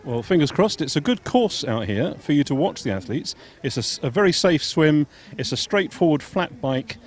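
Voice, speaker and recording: A man commentating live on a junior triathlon race and interviewing a coach, in a measured, informative way with occasional fillers. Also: on the high side, big pitch swings. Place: outdoors at a triathlon venue.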